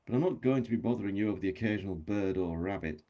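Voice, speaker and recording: A British man reading a novel aloud as a spirited, independent younger woman with a cheeky, brisk manner. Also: on the low side, dark tone, lightly acted. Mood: cheeky, reassuring. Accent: British English. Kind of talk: audiobook-style novel reading.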